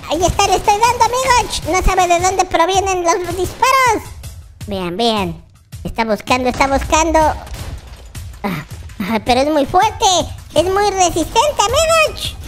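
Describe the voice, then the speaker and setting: Casting: baby boy gamer character, squeaky and extremely high, animated, excitable, boastful yet sweet, over kids' music. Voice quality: squeaky, childlike. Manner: exclamatory, repeats words in bursts. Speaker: gaming channel host. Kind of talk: roleplay gameplay commentary for children. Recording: music behind the voice. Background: children's music.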